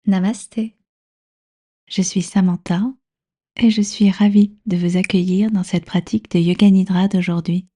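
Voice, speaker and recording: A woman with a soft, breathy voice leading a guided relaxation as a yoga nidra instructor, soothing, unhurried and gently instructing. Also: mid-range voice, steady tone. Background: background music.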